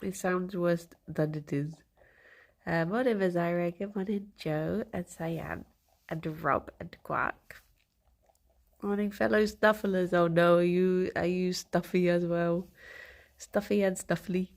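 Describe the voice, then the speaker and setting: Slow, gravelly, stuffy-nosed woman. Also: on the low side, fairly even intonation. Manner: chatty, greets viewers by name, giggly, soft baby talk to pets. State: congested, blocked nose. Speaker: streamer. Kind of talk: casual morning stream chat.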